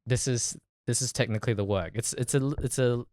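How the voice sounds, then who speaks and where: A man's voice: mid-range voice, quick pace, darkish tone, no echo, studio quality. A man chatting casually on a podcast as one of the guests.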